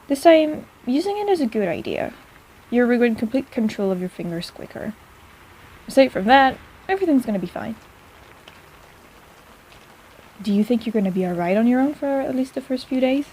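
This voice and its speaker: Casting: actress as chatty, fond, teasing female apothecary for intimate audio roleplay; soft-edged warm tone, slightly high pitch. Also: expressive, husky, poor audio. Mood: caring. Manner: chatty and rambling, halting, gently teasing. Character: warm, teasing, flustered young woman apothecary shopkeeper, caring and rambling. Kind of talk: intimate personal-attention audio roleplay, speaking to the listener.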